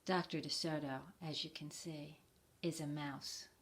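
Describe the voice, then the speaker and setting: A woman's voice: sits low, steady tone, a little slow, slightly rough. A woman reading a picture book for a library storytime, explaining and asking questions as if to young children.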